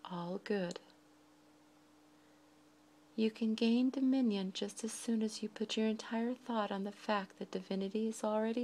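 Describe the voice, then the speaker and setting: A woman leads a guided meditation in a soft, breathy voice, speaking slowly and deliberately in short phrases with frequent pauses. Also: mid-range voice, a little hiss or hum.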